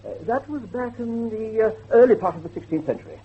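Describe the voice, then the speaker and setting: An actor playing an earnest, excitable scholar of werewolves, professorial and halting, with a husky voice in a horror drama. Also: male voice, high-pitched, muffled recording. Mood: lecturing. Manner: professorial, hesitant, halting emphasis. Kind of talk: dramatic horror dialogue acting.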